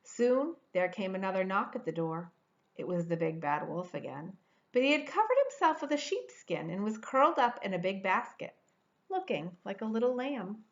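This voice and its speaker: A woman's voice: medium pitch, big pitch swings, moderate pace, lo-fi sound. A woman in her forties reading a children's storybook aloud expressively, stretching words for dramatic emphasis. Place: indoor room at home.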